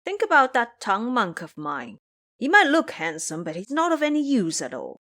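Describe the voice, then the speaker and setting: A woman's voice: fairly high pitch, huge pitch swings, moderate pace, fairly bright tone, voice pushed up. A woman voicing a boastful, lazy, lustful male pig monster in an audio drama, pleading and bragging as he talks.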